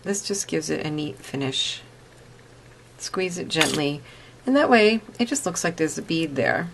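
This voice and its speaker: A woman narrating a step-by-step craft tutorial, explaining each step plainly and patiently. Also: fairly low pitch.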